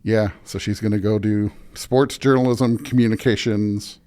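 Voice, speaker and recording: A man's voice: lowish voice, steady tone, normal speed, darkish tone. A middle-aged American man chatting casually and telling anecdotes as an interview guest on a podcast.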